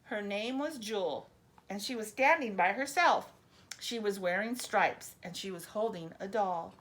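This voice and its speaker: A woman's voice: medium pitch, unhurried. A woman reads a children's picture book expressively, breaking off for chatty asides to her young listeners. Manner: animated storyteller, chatty asides addressed to children.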